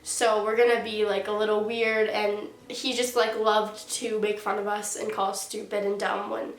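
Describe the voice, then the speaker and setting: A woman's voice: medium pitch, flat delivery, moderate pace. A young woman in her late teens with a stuffy, congested nose, casually telling a storytime vlog and saying "like" often. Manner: chatty, heavy use of "like". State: stuffy nose from a sinus infection. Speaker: YouTuber. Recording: roomy echo.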